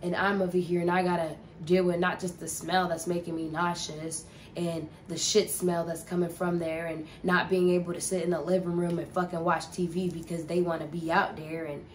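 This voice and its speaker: A woman's voice: fairly low pitch, a little hiss or hum. A young woman telling a casual storytime, rambling and profane with lots of filler words. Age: young adult. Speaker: vlogger.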